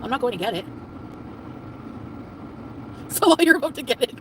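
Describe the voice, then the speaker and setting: Brief: woman, 40s, ranting streamer, somewhat high voice, off-mic, with heavy traffic noise around. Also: sing-song, moderate pace, recorded from a distance. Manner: complaining, ranting. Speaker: livestreamer. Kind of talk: live-stream rant.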